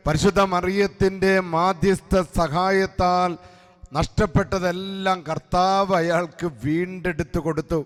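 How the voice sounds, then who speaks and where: High-pitched preacher in echoing hall. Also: male voice, clear, resonant tone. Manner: emphatic, exhorting, phrase-by-phrase delivery with pauses. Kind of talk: devotional sermon. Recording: long echoing hall reverb.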